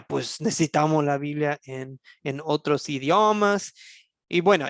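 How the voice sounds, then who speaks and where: A man from the United States, a native English speaker with an American accent, teaching an online church history class in Spanish. He talks haltingly, with many fillers and restarts. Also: a little high, gravelly, fairly bright tone, clean tone, no room sound, studio quality.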